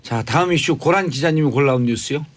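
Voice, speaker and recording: A man's voice: fairly high pitch, fast, dry recording. A man hosting a radio talk show casually, reacting often and summing up what others say. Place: radio studio.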